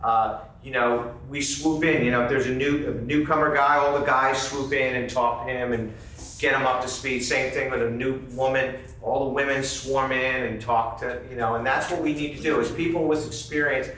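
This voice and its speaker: Male participant, forceful and conversational, distant from the mic with heavy room echo. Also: mid-range voice, fairly even intonation, normal speed. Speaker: meeting participant sharing from the floor. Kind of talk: casual unscripted sharing. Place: convention meeting room, indoors. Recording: very echoey room, distant mic, faint noise.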